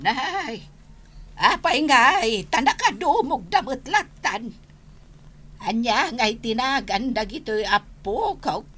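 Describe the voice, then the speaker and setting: A woman's voice: fairly high pitch, lively intonation, slow pace, a bit bright, exaggerated voice. A woman with a Filipino accent playing a fussy, dismayed elderly grandmother in a radio drama, drawing out her exclamations. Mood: puzzled, disappointed. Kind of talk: Ilocano radio drama acting.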